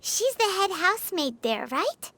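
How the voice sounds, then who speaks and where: A woman voicing a perky, childlike little fairy girl companion in a video game, chatty, blunt and exclamatory with a squeaky tone. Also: sing-song, a little slow, pushed and bright, slight background noise, full character voice. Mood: curious, chatty. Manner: exclamatory, refers to self by name.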